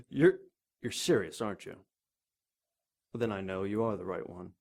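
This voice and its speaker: A man's voice: fairly low pitch, very animated, measured pace, a little mellow, heavily acted. A man playing a shady, rich older businessman, curt and imperious, in a 1930s noir audio drama. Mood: amused.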